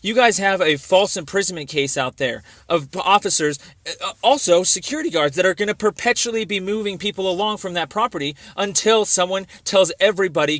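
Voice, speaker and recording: A man's voice: high voice, fairly bright tone, no room sound. An agitated man arguing insistently and at rambling length as a caller making a complaint on the phone. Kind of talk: argumentative phone conversation. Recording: dry phone call recording.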